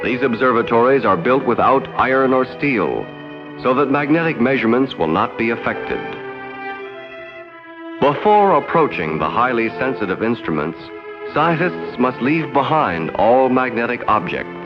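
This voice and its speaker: A man's voice: mid-range voice, big pitch swings, music in the background. Dull vintage film soundtrack, American male narrator. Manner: formal, measured, no-nonsense delivery. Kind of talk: 1960s educational film narration.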